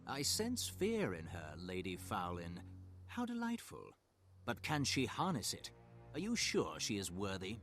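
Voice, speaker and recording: A gloating, sadistic video game knight whose pitch swings wildly. He savours his menace theatrically over faint music. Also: huge pitch swings, a little slow, fairly bright tone, full character voice. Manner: theatrical, sinister relish. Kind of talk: video game cutscene acting.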